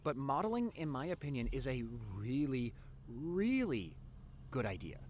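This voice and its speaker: Casting: male actor as devoted nerdy young boyfriend for audio roleplay; mid-range voice, lively intonation, earnest and teasing. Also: mid-range voice, normal speed, slight background noise, natural voice. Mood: earnest, admiring.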